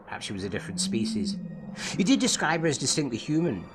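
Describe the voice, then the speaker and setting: Male actor as enigmatic therapist, medium-pitched man, probing, analytical, formal, measured delivery, audio drama, clear music bed. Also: very animated, lightly acted. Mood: probing, certain. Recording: music under the voice.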